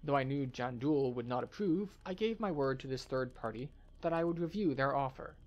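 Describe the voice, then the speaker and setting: A man voicing an earnest scholar from a fantasy game expedition, speaking in a formal, measured way. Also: mid-range voice, dull audio, natural voice. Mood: guarded, formal.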